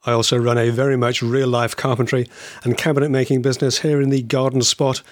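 A man's voice: medium pitch, little pitch movement, quick pace, no room sound. A man co-hosting a podcast in friendly casual chat, hesitant with lots of uh sounds and restarts, on a video call. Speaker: podcast co-host.

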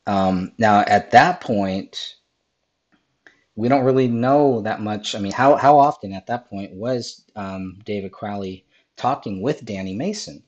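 A man hosting a live-streamed podcast, hoarse from a cold, talking through video clips with lots of ums and restarts. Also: on the low side, measured pace, lo-fi sound. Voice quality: raspy. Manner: rambling, many ums and restarts. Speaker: podcast host.

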